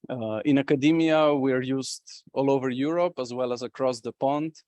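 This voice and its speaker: A man's voice: medium pitch, moderate pace, a little mellow, no room sound. A man from Slovenia giving a conference presentation as a company representative, talking with many fillers and restarts.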